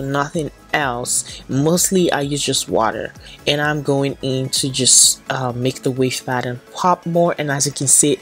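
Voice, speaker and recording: A young woman with a stuffy, nasal voice from a cold, chatting casually to camera as she talks through a hair tutorial. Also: deep voice. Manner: chatty, repeats "so yes" and "going in". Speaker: beauty vlogger. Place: small indoor room, plain backdrop.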